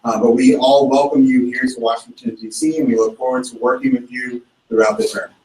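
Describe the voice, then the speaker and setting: A man speaks from some distance in a large, echoing hall. He gives a formal welcome at a measured pace, with frequent "uh" and "um" fillers. His pitch is mid-range. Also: steady tone, darkish tone, slight background noise. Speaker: program director introducing staff. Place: large hall at an orientation event. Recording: roomy hall, far from the mic.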